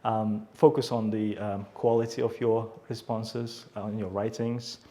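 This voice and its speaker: A man's voice: fairly low pitch, flat delivery, unhurried, mellow. A man with an Uzbek accent explaining things as a teacher in an interview. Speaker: IELTS teacher, interview guest.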